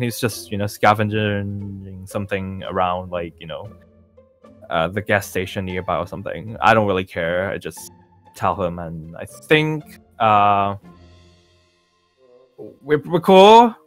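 A man playing a self-assured young male pop singer in an improvised Minecraft roleplay, talking in a halting, rambling, nonchalant way. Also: fairly low pitch. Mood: casual, nonchalant. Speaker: roleplay performer. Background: music.

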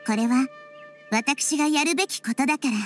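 A woman voicing a small girl deity in an anime game, wise, gentle, composed and thoughtful. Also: high-pitched, moderate pace, close to an everyday voice. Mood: apologetic, caring. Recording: faint music under voice.

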